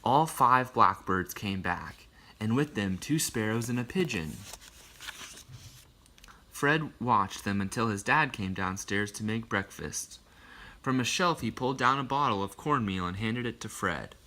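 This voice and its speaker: A man reading a picture book aloud in an expressive storytelling way, with casual asides. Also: fairly low pitch, a little slow.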